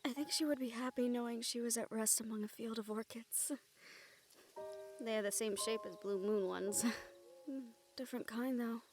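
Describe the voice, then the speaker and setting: A woman acting an affectionate young fantasy fighter in an intimate audio roleplay, speaking softly with tender, playful warmth and an undertow of grief. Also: on the high side, normal speed, soft background music, natural voice. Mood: grieving, tender. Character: affectionate young woman, supernatural fighter, grieving yet teasing.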